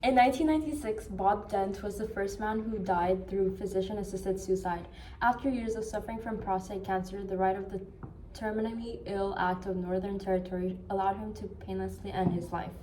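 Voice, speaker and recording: A woman's voice: medium pitch, fairly quick, mellow, a little hiss or hum. A young woman from Indonesia, a law student, steadily reading case facts and legal articles aloud. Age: young adult. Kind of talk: read-aloud presentation. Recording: echoey room, a bit far from the mic.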